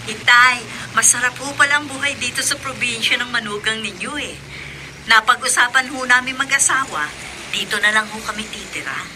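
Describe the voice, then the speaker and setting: A woman's voice: fairly high pitch, normal speed, background noise, natural voice. A Filipino woman playing a respectful, content married daughter in a radio drama. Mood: content, persuasive.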